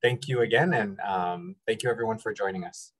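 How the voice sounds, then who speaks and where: A man whose first language is Chamorro, introducing himself softly on a webinar with pauses. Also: on the low side, breathy edge, rough recording. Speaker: advocacy volunteer.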